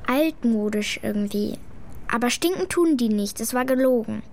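A woman's voice: fairly high pitch, expressive, normal speed, a little mellow. A female performer playing an earnest, frank schoolgirl in a children's radio play, speaking in a matter-of-fact, childlike way. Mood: frank, defensive.

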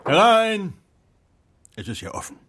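An actor in a children's radio play playing a humble, good-natured old puppet maker who speaks hesitantly, muttering interjections. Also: male voice, sits high, huge pitch swings, unhurried, noisy background, full character voice. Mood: welcoming.

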